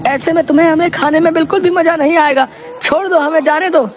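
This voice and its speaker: A man voicing a timid temple priest and husband in a children's story, anxious and pleading in a squeaky voice. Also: steady tone, fairly quick, faint music behind. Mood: frightened, pleading. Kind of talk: acted children's moral story.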